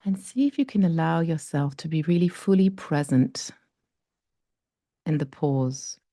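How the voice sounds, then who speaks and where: A woman guiding a breathing meditation, speaking slowly and gently with long pauses. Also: sits low, dry recording, studio quality. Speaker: meditation guide.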